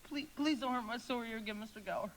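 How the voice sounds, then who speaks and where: A scared young boy in a radio drama, very high in pitch. He stammers and pleads earnestly, with some background noise on the recording. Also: fast talker, a bit bright. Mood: frightened, pleading. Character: frightened, pleading, good-hearted young boy. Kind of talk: live radio play acting.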